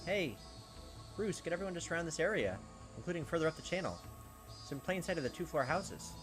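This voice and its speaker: A man's voice: on the high side, animated, fairly quick, music in the background, voice pushed up, natural voice. A man voicing a blunt, callous police detective in a visual novel read-aloud. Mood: commanding.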